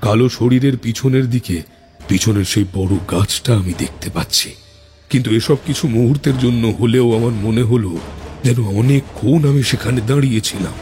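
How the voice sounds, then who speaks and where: A man playing a tantric mystic who recounts eerie encounters in an audio horror drama, measured and suspenseful. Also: medium pitch, a little mellow, faint music behind, lightly acted. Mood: eerie, shaken. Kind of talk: first-person horror storytelling in audio drama.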